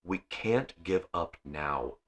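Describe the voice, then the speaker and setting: A man's voice: sits low, lively intonation, takes it slowly, fairly bright tone, full character voice. A man voicing a determined, weary but commanding female starship captain in an audiobook story. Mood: firm, strained.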